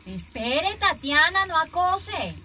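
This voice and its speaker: A woman's voice: very high voice, very animated, slow pace, bright, forceful tone, slight background noise, heavily acted. An actress playing a lovestruck, naive seventeen-year-old Colombian schoolgirl in a radio soap opera, gushing and girlish. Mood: secretive, tense.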